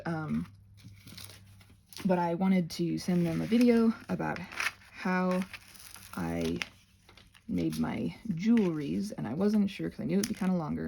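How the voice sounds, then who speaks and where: A woman hosting a craft channel in a casual ramble, playful and whimsical, drifting onto tangents and talking to herself, with a slightly husky voice. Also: lowish voice, mellow, slight background noise. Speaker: host of a craft and ASMR channel.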